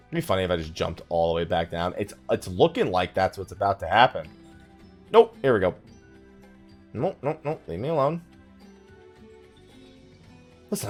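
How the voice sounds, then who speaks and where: A man hosts a casual let's play in a slightly low voice. His pitch moves around a lot as he chats and thinks aloud in a loose, rambling way. Faint music plays behind him. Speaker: gaming YouTuber, let's play host.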